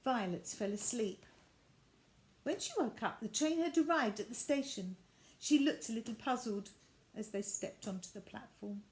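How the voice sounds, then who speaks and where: A woman reading a children's storybook aloud as an expressive narrator. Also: a little high, moderate pace, poor audio. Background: added sound effects and faint noise.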